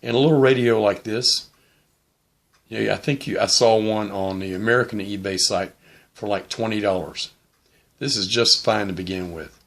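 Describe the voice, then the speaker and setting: Gravelly low-voiced man explaining casually. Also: moderate pace. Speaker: vlogger, shortwave radio hobbyist.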